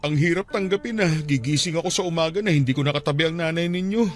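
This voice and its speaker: A man playing a middle-aged widowed father in a radio drama, tender and heartbroken. His voice sits fairly high for a man and halts with emotion. Music plays underneath. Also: fast talker, close to an everyday voice. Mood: grief-stricken.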